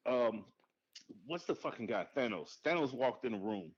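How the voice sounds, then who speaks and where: A man co-hosting a podcast in casual movie chat, animated and profane, jumping to high excited outbursts. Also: moderate pace, slightly rough. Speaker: podcast co-host. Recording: dull lo-fi sound.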